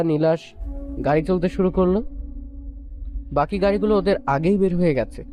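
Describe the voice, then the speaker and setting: A man reads a romantic story as a scripted narration. His voice sits high for a man, with clear fiddle-tinged music playing behind it.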